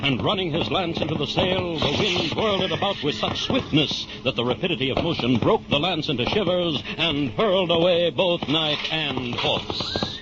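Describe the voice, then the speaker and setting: A man's voice: a little high, lively intonation, normal speed, few pauses. A man narrating a vintage radio drama in a scripted storytelling style. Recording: muffled old radio, loud music behind.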